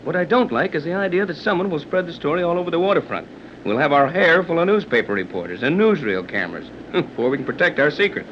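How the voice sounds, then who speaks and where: A breezy American man of action, shrewd and folksy. His delivery is fast and swings widely in pitch, in old 1930s serial style on a muffled recording. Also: sing-song. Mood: shrewd, concerned. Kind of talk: 1930s radio serial acting. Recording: dull, noisy old 1930s radio recording.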